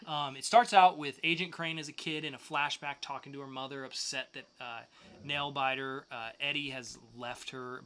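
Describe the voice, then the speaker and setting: A man's voice: on the high side, sharp tone. A man hosting a podcast, casually reviewing comic books with enthusiastic, loose, slangy asides. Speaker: podcast host.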